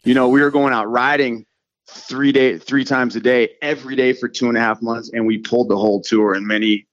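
A man's voice: mid-range voice, steady tone, a little fast, no room sound, dull audio. A middle-aged man from California, a motocross rider talking loosely and rambling with lots of "like" and "you know". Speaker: freestyle motocross rider, guest. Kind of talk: casual podcast conversation.

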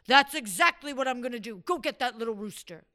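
Woman doing a big greedy sultan guy, bossy and loud, lower than her usual voice. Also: very animated, a little slow, dry recording, studio quality. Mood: eager. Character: large, greedy male sultan.

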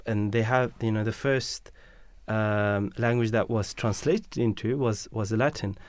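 A man's voice: on the low side, unhurried, no room sound. A man whose mother tongue is Bangla explains his points at length in a casual radio discussion, with many fillers and restarts.

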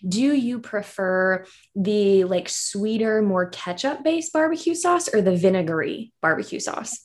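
A young woman from southern Virginia hosting a podcast, chatty and quick to laugh. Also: mid-range voice, expressive, a little mellow. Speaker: podcast host. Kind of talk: casual podcast chat.

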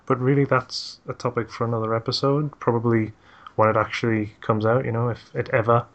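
A man's voice: mid-range voice, level tone, a little fast, lo-fi sound. A man hosts a casual podcast about games. He reads facts from his notes and drops jokey asides between them. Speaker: podcast host.

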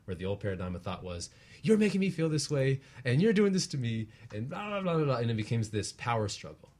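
A man's voice: mid-range voice, sing-song, fast, a little mellow. A man speaking as a guest teacher, earnestly explaining spiritual ideas in a conversational way and often checking in with a short tag question. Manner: earnest, explanatory, often tags phrases with "right?". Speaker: guest, relationship practitioner and teacher.

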